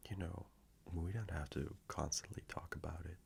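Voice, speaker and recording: A very low, heavily creaky male voice of an actor plays an affectionate boyfriend in an intimate audio roleplay. He speaks softly and slowly, gentle and reassuring, sometimes slipping into breathiness. A faint noise sits behind the close recording. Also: fairly even intonation. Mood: caring, earnest. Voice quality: low, gravelly, breathy at times. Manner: soft, slow, reassuring, intimate.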